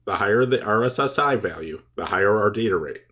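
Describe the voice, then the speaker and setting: Male tutorial presenter, slightly deep voice, reading scripted how-to material in a measured, methodical manner. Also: animated, fairly bright tone. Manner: measured, step-by-step explaining. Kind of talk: scripted instructional narration.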